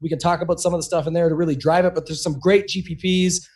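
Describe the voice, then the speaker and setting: Fast-talking high-voiced man, slightly hoarse. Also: flat delivery, darkish tone. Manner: quick, run-on talk with many "you know"s. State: slightly losing his voice. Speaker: podcast co-host. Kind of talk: casual podcast chat.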